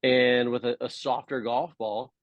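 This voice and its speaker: A man from Hawaii chatting casually as an interview guest, telling his story in a relaxed, halting way. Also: medium pitch, no echo, narrow, tinny audio. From Hawaii, United States. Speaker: podcast guest, former tour golfer and coach.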